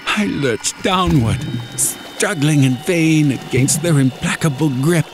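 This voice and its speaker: A man's voice: on the high side, slow pace. A man narrating a first-person horror story in a dramatic, scripted storytelling style. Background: music.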